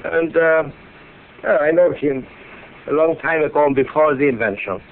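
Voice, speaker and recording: A man, a tailor, answering questions in halting, simple English. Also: on the high side, a little hiss or hum. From Cyprus. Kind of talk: interview answers. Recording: tinny lo-fi audio.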